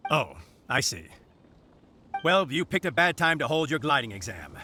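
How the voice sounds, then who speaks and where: A man's voice: fairly high pitch, very animated, normal speed, bright, forceful tone. A man playing an officious, dutiful knight on guard duty in an anime-style video game, speaking in a formal, declamatory way. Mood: explanatory. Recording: music behind the voice.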